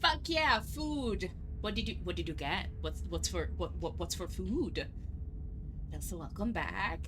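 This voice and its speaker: A young adult woman with an Italian accent, chatting casually and excitably with her viewers while streaming a game, swearing freely. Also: fairly low pitch, measured pace, background noise. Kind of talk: casual live-stream chat over gameplay.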